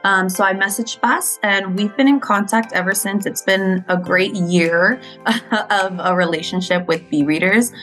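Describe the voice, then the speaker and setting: A woman teacher talks earnestly in an interview, walking through how a reading program works. She grew up in Mexico. Her pitch sits in the middle range. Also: measured pace, hardly any pauses, fairly bright tone, soft background music. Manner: earnest, explanatory. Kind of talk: interview conversation.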